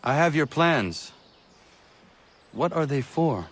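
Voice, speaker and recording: An actor playing a stoic, earnest young colonial-era assassin in a video game, speaking in a stiff, formal way. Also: male voice, on the high side, expressive, takes it slowly, fairly bright tone, slight background noise. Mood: reserved, puzzled. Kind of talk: video game character acting.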